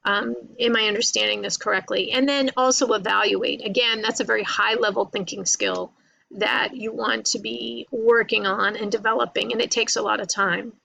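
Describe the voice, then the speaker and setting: A woman's voice: on the high side, fairly even intonation, normal speed, creaky, sharp tone, low-quality recording. A woman lecturing informally to college students, explaining in a conversational way, repeating points and often checking in with "right?" and "you know".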